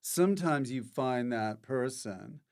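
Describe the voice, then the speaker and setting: A man's voice: medium pitch, expressive, slow. A man hosting a casual interview, asking questions and tossing in playful jokes. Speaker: podcast host, interviewer.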